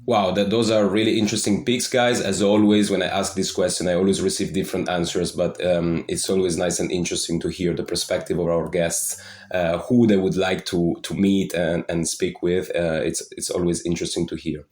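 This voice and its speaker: Male podcast host, lowish voice, polite scripted delivery, roomy echo. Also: level tone, a little fast, a little mellow. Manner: reads a formal welcome, then asks questions politely. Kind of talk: scripted podcast introduction and interview.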